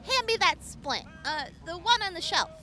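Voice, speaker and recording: A woman's voice: very high-pitched, sing-song, normal speed, sharp tone, exaggerated voice. A woman playing an upbeat young army medic in an audio drama, chatty and giggly, stammering when flustered. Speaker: field medic.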